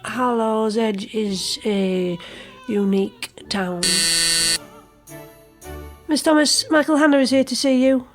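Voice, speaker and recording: A dry, humorless female receptionist in an audio drama. She speaks slowly in a slightly high voice and is curt, easily exasperated. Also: faint music behind. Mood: curt, formal.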